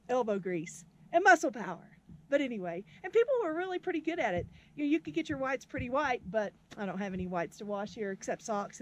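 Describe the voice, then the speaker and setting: A woman's voice: on the high side, a little hiss or hum. Chatty upbeat woman, arm's-length camera outdoors. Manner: chatty, laughs easily, upbeat. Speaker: vlogger, history teacher. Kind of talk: casual outdoor vlog chat. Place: outdoors at a windy off-grid camp.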